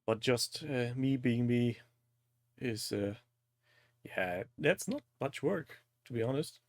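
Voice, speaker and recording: A man's voice: mid-range voice, lively intonation, slow, dry recording, clean studio sound. A man from Germany with a German accent, a native German speaker, hosting a casual live-stream chat in a jokey, teasing manner. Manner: jokey, crude humour, teasing.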